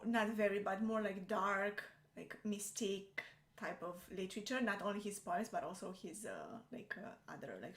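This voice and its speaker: A young adult woman, a native Romanian speaker, chatting in English as a co-host, hesitant and full of fillers. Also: medium pitch. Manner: hesitant, many fillers and restarts. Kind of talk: casual chat. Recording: echoey room.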